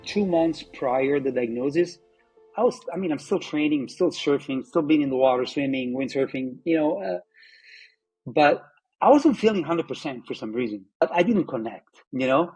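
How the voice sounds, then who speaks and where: Man, fifties, husky, reflective, halting, soft music underneath. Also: fairly high pitch, expressive, low-quality recording. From Italy. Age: 50s. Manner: reflective, halting, many fillers and restarts. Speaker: patient telling his story. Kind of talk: personal testimony interview.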